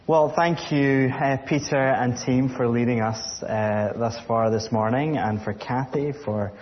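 A man with a Scottish accent giving a sermon, speaking earnestly with frequent pauses and fillers. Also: medium pitch, fairly even intonation, dull audio. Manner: halting with fillers, earnest and grateful. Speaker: guest speaker.

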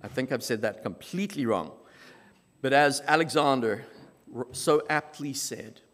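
A man from South Africa with a South African accent, preaching a sermon as pastor in measured, emphatic phrases with dramatic pauses. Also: mid-range voice, a bit bright. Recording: long reverb of a large hall.

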